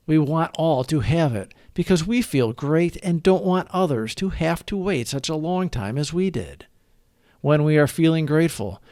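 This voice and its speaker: A man giving an earnest, deliberate read-aloud of a scripted recovery meditation as its narrator. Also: a little high, a little mellow, no room sound, clean studio sound.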